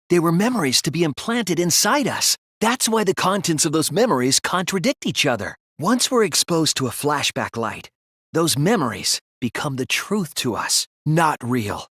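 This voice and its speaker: A thoughtful teenage male detective from a video game, reasons aloud with earnest resolve. His voice is breathy and very dry in the recording, with lively swings of pitch as he deliberately builds and presses each deduction. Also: no room sound, full character voice. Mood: pensive, deductive. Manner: deliberate, reasoning aloud, emphatic when refuting. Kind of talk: English video game voice acting, dramatic deduction scenes.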